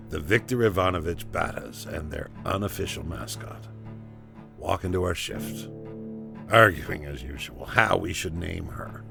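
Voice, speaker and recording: An actor playing a rattled soldier telling a halting, conversational first-person story in an audio drama, with a gravelly voice. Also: male voice, low-pitched, heavily acted. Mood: wry, uneasy. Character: rattled male battalion soldier recounting a disturbing morning.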